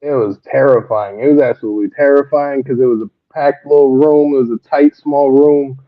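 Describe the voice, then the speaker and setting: A man's voice: medium pitch, fairly even intonation, fairly quick, narrow, tinny audio. A man, a stand-up comedian, chatting loosely in an interview about his start in comedy and laughing often. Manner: laughs often, rambling storytelling. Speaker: guest comedian. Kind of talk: casual interview chat.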